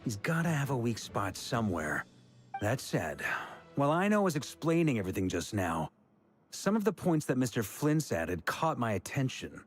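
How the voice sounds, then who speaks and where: A man playing a seasoned, easygoing middle-aged knight commander in a video game, speaking in a measured, reassuring, thoughtful way. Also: medium pitch, very animated, soft background music, close to an everyday voice. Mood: warm, thoughtful.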